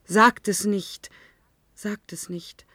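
An actress playing an anguished, isolated young woman who narrates her ordeal in a German science-fiction radio drama, deliberate and dramatic. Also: medium pitch, fairly even intonation, a bit bright, no room sound, studio quality.

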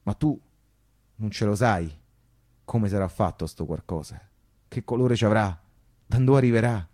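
A man's voice: fairly low pitch, normal speed, dark tone, lightly acted. An actor playing an anguished working-class Roman man who recounts wartime life in a theatrical, colloquial monologue full of insistent repetition. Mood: hushed, ominous. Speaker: stage storyteller and actor. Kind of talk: theatrical first-person monologue for radio theatre.

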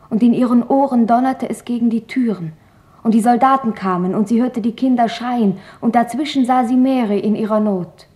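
A woman's voice: on the high side, dark tone, natural voice. An actress playing a thoughtful, earnest teenage girl diarist, reflective and questioning, in a German radio drama. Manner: questioning, reflective reading of her own writing.

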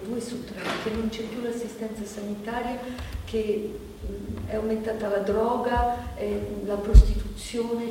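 A woman's voice: mid-range voice, lightly acted. An actress playing an anxious woman in a stage monologue, searching for words with stammers and repetitions. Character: anxious woman struggling to voice a nation's distress. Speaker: stage actor. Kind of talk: theatrical monologue. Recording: distant echoey hall, clear noise.

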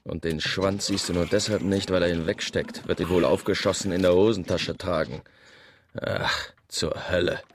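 An actor playing a gruff, superstitious whaling mate in a radio drama, gravelly and grumbling in a conspiratorial way. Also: male voice, deep voice, steady tone, moderate pace, natural voice. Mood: wary grumbling. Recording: clearly noisy sound-effects bed.

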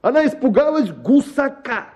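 An actor playing a pompous, self-styled writer holding forth to a bachelors' club, declaiming grandly in a radio play. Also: male voice, very high voice, sing-song, moderate pace, darkish tone. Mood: boastful. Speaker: speaker at a bachelors' club meeting. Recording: slightly dull radio recording, crowd murmur. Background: murmuring male audience.

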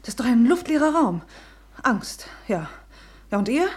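An actress playing a blunt, resentful younger sister and aunt in a German radio play, outspoken and reproachful. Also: female voice, on the high side, very animated, normal speed. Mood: bitter, accusing.

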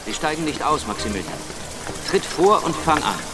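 An actor playing a calm, authoritative schoolteacher with a measured, formal manner in a radio drama. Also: male voice, on the high side. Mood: stern. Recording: echoey, long reverb, music behind.

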